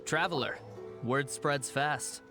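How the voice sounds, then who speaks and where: A man voicing a dutiful city guard in a video game, speaking in a measured, formal, reassuring way. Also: on the high side. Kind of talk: English video game voice acting. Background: game music.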